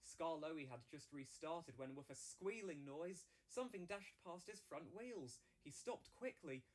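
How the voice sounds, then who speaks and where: A man's voice: a little high, lively intonation, measured pace. A man narrating a children's storybook from a script.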